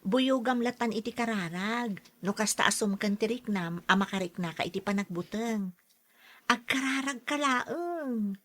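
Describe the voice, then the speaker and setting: A woman playing a caring, devout young college student in a radio drama, speaking gently and encouragingly. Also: fairly high pitch, normal speed.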